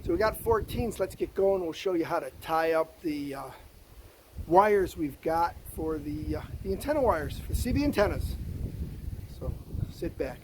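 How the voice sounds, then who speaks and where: A man with a high-pitched voice presents a hands-on how-to vlog. He sounds folksy and enthusiastic, chatting casually as he works. The recording is outdoors and windy, with noticeable noise and clicks. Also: animated, moderate pace. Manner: enthusiastic, folksy, talking while working. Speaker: host of a DIY Jeep project channel. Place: outdoors beside a vehicle, windy.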